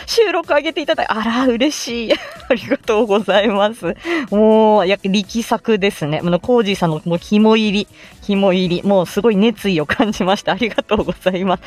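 A woman hosting a casual audio livestream, chatting to listeners in a giggly, effusive, rambling way. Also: fairly high pitch. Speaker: livestream host and amateur voice actor.

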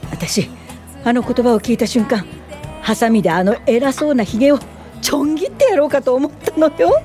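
An actress playing a resolute, compassionate female social worker and mentor in a radio drama, earnest and gently indignant. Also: a little high, expressive, moderate pace, natural voice. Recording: studio radio drama with music underneath.

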